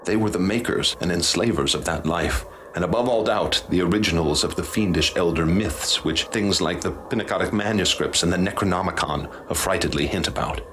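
A low voiced haunted professor, formal n ominous, radio drama, noisy ambience behind. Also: male voice, fairly quick, hardly any pauses, a bit bright. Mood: ominous, troubled. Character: grave haunted male professor recounting horrors he witnessed. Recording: clear background noise and ambience.

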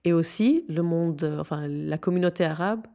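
Earnest woman with a lowish voice. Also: moderate pace, creaky, mellow. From Syria. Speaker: interview guest, founder of a youth non-profit. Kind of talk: conversational interview.

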